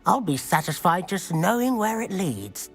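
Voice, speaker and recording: Lively young British witch schoolgirl. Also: on the low side, normal speed, faint music behind. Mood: friendly, eager. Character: eager, bookish teenage witch schoolgirl seeking adventure. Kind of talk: video game cutscene acting.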